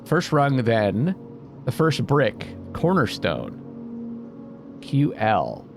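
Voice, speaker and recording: A man narrates his playthrough casually, musing aloud and reading out on-screen text. His mid-range voice moves around a lot in pitch, with game music clearly playing behind him. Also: wildly swooping pitch, very slow. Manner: thinks out loud, muses. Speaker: video game player and host. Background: atmospheric game music.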